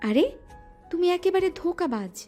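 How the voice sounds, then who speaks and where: A woman plays a coy young wife in a romantic audio drama, scolding playfully in a very high voice. Her tone is warm and mellow, not bright. Soft music runs beneath her. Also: expressive, normal speed. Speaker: voice actress. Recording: music bed underneath.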